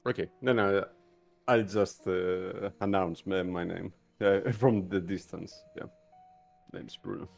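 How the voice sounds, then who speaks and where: Male game player, gravelly lowish voice, casual roleplay chat. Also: fairly even intonation, slow pace, soft background music.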